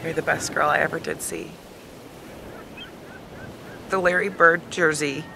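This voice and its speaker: A woman in her thirties giving a dramatic, mock-emotional reading of song lyrics, with halting, deliberate pauses, recorded outdoors on a handheld camera. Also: deep voice, steady tone, vocal fry, bright, forceful tone, slight background noise. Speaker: comedic video host. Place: lying outdoors in a hammock. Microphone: camera held at arm's length.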